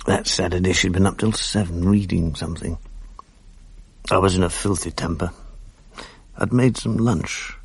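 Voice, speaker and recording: A man's voice: low-pitched, fairly even intonation, moderate pace, pauses often, slightly rough, dry recording, heavily acted. An actor playing a world-weary, irritable, sardonic British man with a dry, literate manner in a radio drama. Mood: wry, weary. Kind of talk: radio drama acting, intimate first-person narration. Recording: faint background noise, archive radio broadcast.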